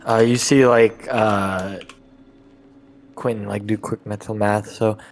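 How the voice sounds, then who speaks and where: A man's voice: lowish voice, fairly even intonation, slight background noise. Hesitant man playing a tabletop game. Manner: hesitant, halting. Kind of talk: casual improvised roleplay.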